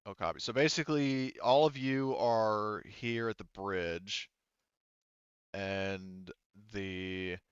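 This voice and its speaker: Low smooth-voiced guy game mastering, thinks out loud, dry slightly muffled chat audio. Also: no room sound. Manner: halting, thinking aloud. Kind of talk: unscripted tabletop game narration.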